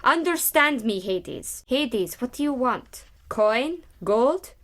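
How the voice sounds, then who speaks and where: Slightly high-voiced woman rehearsing drama. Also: lively intonation, slow. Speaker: actor. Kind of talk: rehearsing dramatic lines and bantering.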